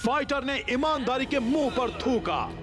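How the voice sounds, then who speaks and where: Very high-pitched sensational sports reporter. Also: male voice, lively intonation, a little slow. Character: excitable male sports TV reporter, dramatic and sensational. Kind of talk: dramatic sports news announcing in cartoon dub. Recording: loud music behind the voice.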